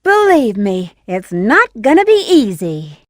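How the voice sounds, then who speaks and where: A woman's voice: on the high side, huge pitch swings, a little slow, dry recording, clean studio sound. A woman voicing a sly, playful bat treasure hunter in a video game, teasing and breezy. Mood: cautious.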